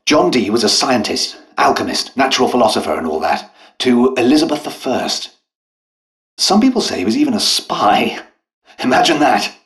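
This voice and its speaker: An actor playing a polite, eccentric British doctor and scientist in a video game, with refined, hesitant, apologetic and understated speech. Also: male voice, mid-range voice, sing-song, moderate pace, a bit bright. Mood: chatty, fascinated. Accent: British English. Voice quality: refined, well-spoken. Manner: courteous, hesitant, understated, chatty.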